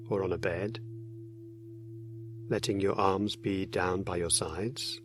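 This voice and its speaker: A man with a low, deep voice leads a guided meditation. He gives his instructions gently and without hurry, leaving frequent pauses between phrases. There is clear noise in the recording behind him.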